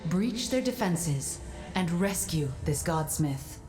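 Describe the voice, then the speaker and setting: Echoing, solemn fantasy guide. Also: female voice, fairly low pitch, animated, a little mellow. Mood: firm counsel. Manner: measured, authoritative, formal exposition. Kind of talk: dramatic video game acting. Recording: roomy echo, background music.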